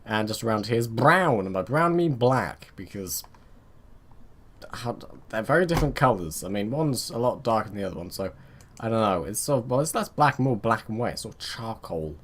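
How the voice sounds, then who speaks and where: A man's voice: fairly low pitch, animated, a little fast, slight background noise. Guy rambling over his strategy game, chatty and self-mocking, faint typing behind. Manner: rambling, muttering to himself. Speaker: let's play gamer. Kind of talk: casual gameplay commentary.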